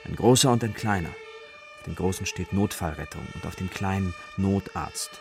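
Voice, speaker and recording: A man with a gravelly, husky voice narrating a children's radio play as a storyteller. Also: low voice, normal speed, noisy background.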